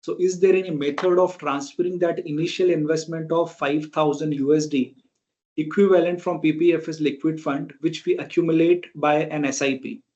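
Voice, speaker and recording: A man's voice: on the high side, steady tone, moderate pace, darkish tone. A man with an Indian accent moderating a conference call and reading questions aloud. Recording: dull, lo-fi conference call audio.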